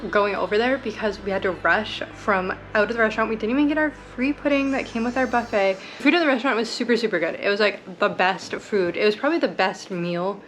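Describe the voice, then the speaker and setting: A woman's voice: mid-range voice, fast talker, hardly any pauses. A woman chatting casually to a vlog, informal and full of gushing emphasis. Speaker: travel vlogger. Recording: very noisy travel vlog audio.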